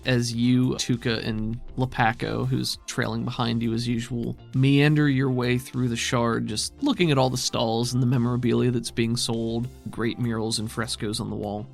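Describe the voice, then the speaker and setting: A man's voice: mid-range voice, level tone, normal speed, vocal fry, hardly any pauses, soft background music. A man narrating a tabletop game as game master in a casual style.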